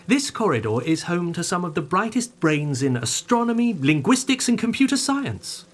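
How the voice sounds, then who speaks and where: An actor playing an earnest, prickly captive insect scientist in a comedic audio drama, pedantic and fervent. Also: male voice, high voice, big pitch swings, normal speed. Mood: confiding.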